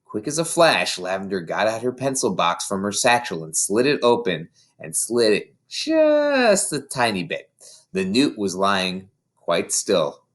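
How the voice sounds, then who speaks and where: A man reading a children's story aloud in an expressive way, like an audiobook narrator. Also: on the low side, a little slow. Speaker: story reader.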